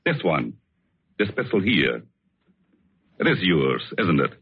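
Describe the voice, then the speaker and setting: An actor playing a vengeful, controlled man holding a pistol, speaking deliberately and accusingly in old-time radio suspense style. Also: low voice, big pitch swings, creaky, breathy edge, full character voice. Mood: cold, accusing. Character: vengeful, coldly controlled man with a pistol, long-waiting avenger. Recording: muffled old radio drama recording.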